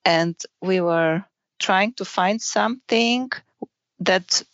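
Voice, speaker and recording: Female podcast guest, Romanian accent, halting conversational delivery, dry but somewhat lo-fi sound. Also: mid-range voice, no echo. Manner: halting, searching for words in non-native English. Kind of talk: casual interview chat.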